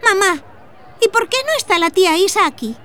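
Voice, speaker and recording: Inquisitive little girl, very high voice. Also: animated, fairly quick, pushed and bright, full character voice. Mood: curious, uneasy. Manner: inquisitive, childlike. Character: curious, innocent young daughter asking her mother questions. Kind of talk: radio drama dialogue. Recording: busy outdoor street crowd behind.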